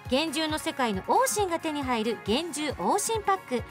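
Lively high-voiced woman radio host. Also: moderate pace. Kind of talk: casual chat and scripted announcements. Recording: music behind the voice.

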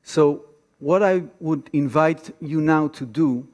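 Halting Israeli man in a reverberant hall. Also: a little high, darkish tone. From Tel Aviv, Israel. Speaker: activist guest lecturer. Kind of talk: informal public lecture with personal storytelling. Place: on stage in a large theater auditorium.

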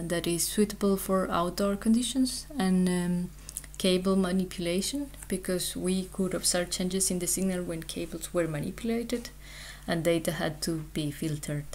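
A woman with a slightly low voice presents scientific research in an explanatory way. Her delivery is hesitant, broken by frequent pauses and ums as she works through technical material. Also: a little mellow, a little hiss or hum.

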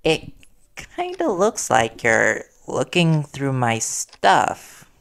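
A man playing a worried reporter boyfriend in an intimate audio roleplay, earnest and halting as he gently confronts his partner. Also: mid-range voice, wildly swooping pitch, gravelly, clear, resonant tone, full character voice. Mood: suspicious, stern.

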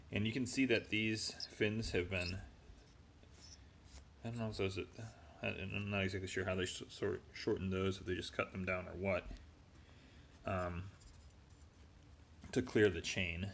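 A man with a low-pitched voice talks through a repair project in a casual, halting way, as if thinking aloud. He is recorded on a handheld phone in a garage, with some noise behind him. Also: a bit bright. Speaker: host showing a repair project. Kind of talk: casual hands-on walkthrough. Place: indoors in a garage. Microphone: handheld phone camera, off screen.

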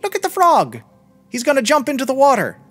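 Man putting on an eager, high-voiced little boy. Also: huge pitch swings, a little fast, fairly bright tone, soft background music, heavily acted. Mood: curious, excited. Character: little boy, innocent, chatty and curious.

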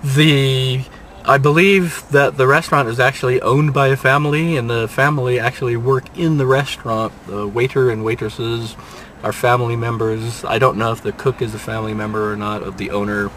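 An older man reviewing restaurants from inside his car, talking in an unhurried, rambling way with dry, self-mocking asides. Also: mid-range voice, fairly even intonation, a bit bright, a little hiss or hum. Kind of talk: casual restaurant review vlog. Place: inside a parked car, daytime. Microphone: dashboard camera at close range.

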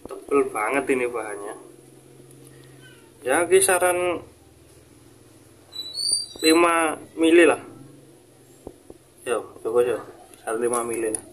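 A man's voice: medium pitch, expressive, slow pace, long pauses. A young man giving an informal, casual product demonstration to viewers. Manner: informal, addresses viewer as boss. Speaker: seller presenting a product review. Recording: noisy home video with clicks.

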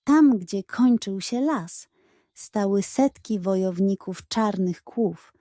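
A woman narrating a scripted children's bedtime story in a measured, dramatic way. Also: medium pitch, mellow, dry recording, clean studio sound.

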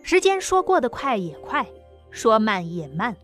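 A woman reads a dramatic audiobook story in a fairly high voice. Soft music plays underneath her scripted narration. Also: big pitch swings, a little slow.

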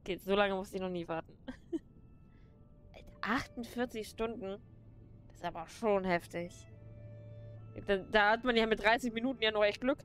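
A woman's voice: medium pitch, normal speed, pauses often, a bit bright, soft background music. A young woman streaming a game from her room, chatting casually and giggling often. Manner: giggly, laughs often between remarks. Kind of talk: casual gameplay livestream commentary.

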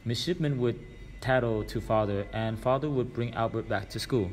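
A man's voice: medium pitch, normal speed, a little hiss or hum, poor audio. A man reading a children's storybook aloud as scripted narration. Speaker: reader.

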